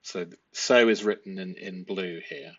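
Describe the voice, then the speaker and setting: A man explaining a transcript analysis as a host, speaking deliberately and posing rhetorical questions. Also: low voice, steady tone, lo-fi sound. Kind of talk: explanatory analysis of a transcript, part read aloud.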